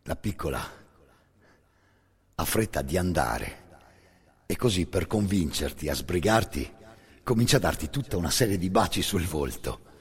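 In a long reverberant hall, a male actor narrates a dramatic monologue. His voice is very low and heavily husky. He speaks intensely, in short halting phrases. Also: lively intonation. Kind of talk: dramatic second-person theatre monologue.

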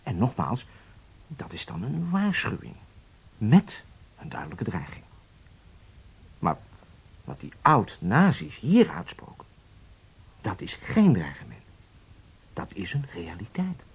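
Husky, earnest businessman in radio drama. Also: fairly low pitch, huge pitch swings, slow pace, pauses often, full character voice. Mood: grave. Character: man living in Egypt, earnest horse-sport entrepreneur. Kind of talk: Dutch radio play acting.

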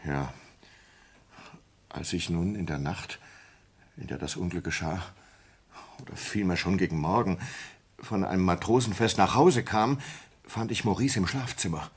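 An actor playing a distraught sailor in a German radio play, confessing in a halting, intense manner with a husky voice. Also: male voice, low voice. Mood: anxious recounting. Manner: halting, intense, swinging from hushed to agitated. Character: distraught sailor confessing a horrifying ape killing. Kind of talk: German radio play acting, dramatic monologue.

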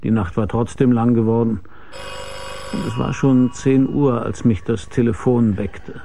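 An actor playing a world-weary private detective with a dry, laconic manner and gravelly voice, narrating and acting in a German radio drama. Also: male voice, medium pitch, steady tone, unhurried, a little hiss or hum. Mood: wry, reflective. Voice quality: gravelly, husky, dark. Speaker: first-person narrator and lead.

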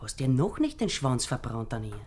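An actor playing a menacing, mocking partisan posing as a soldier in a radio drama, crude and barking, with an Austrian accent. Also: male voice, medium pitch, wildly swooping pitch, fairly quick, a little mellow. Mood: crude, taunting. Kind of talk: German-language radio drama acting.

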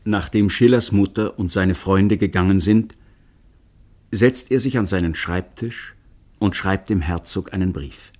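A man narrates a radio drama. His voice sits low. He reads in a measured, matter-of-fact manner. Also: a little mellow. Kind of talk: scripted narration.

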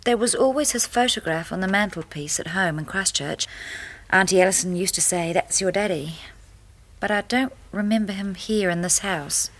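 An actress plays a puzzled young wife in a radio drama. Her voice sits at an average pitch for a woman. She is earnest and a touch hesitant, like someone feeling her way through uncertain memories. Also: normal speed, fairly bright tone, natural voice. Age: 20s.

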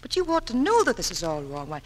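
A woman playing a gentle, heartbroken mother in a 1940s radio drama, halting, pleading and earnest. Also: fairly low pitch, wildly swooping pitch. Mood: pleading, hurt. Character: heartbroken but gentle mother abandoned by her children. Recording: vintage 1940s radio broadcast, music.

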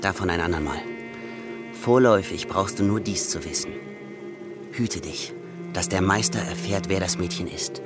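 A man playing a shrewd, secretive mill hand who passes for a simpleton, speaking in a deliberate, knowing radio play manner. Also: deep voice, close to an everyday voice. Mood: grave, confiding. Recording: music clearly behind the voice.

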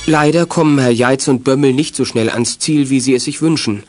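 A man's voice: mid-range voice, normal speed, a little mellow, close to an everyday voice. A man acting as the narrator of a children's adventure radio play, reading scripted dramatic narration. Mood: suspenseful. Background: music.

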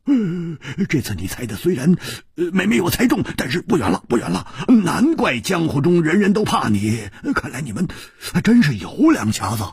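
A man's voice: sing-song, moderate pace, creaky, few pauses, husky, no echo, clean studio sound, heavily acted. A man doing a stammering cheeky swordsman. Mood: sighing. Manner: stammers and hums, sudden high laughs. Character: teasing stammering swordsman, playful.

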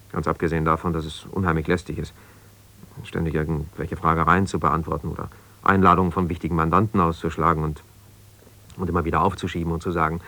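Low-voiced, defensive husband. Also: male voice, sharp tone. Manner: argumentative, insistent, hesitating when conceding. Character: ambitious lawyer, abandoned husband, self-justifying, stubborn, defensive. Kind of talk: naturalistic radio drama monologue on the telephone.

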